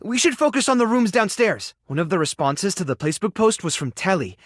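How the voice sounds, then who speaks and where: An actor playing a caring, anxious animal rescuer in a video game, with a friendly, earnest and encouraging manner. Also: male voice, high-pitched, a little fast, no room sound, studio quality, heavily acted. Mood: earnest, hopeful. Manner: expressive, soft-hearted, encouraging, coaxing small creatures like pets. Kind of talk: video game character dialogue lines. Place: studio.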